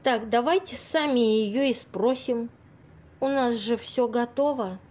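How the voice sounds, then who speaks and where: A woman's voice: a little high, measured pace, darkish tone. An actress in an amateur audio play playing a naive, curious young woman, questioning and a little hesitant.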